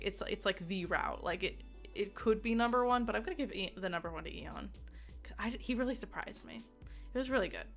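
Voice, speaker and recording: A woman's voice: fairly high pitch, fairly bright tone. A woman giving a casual, unscripted game review in an enthusiastic, giggly, rambling way. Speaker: video game reviewer and streamer.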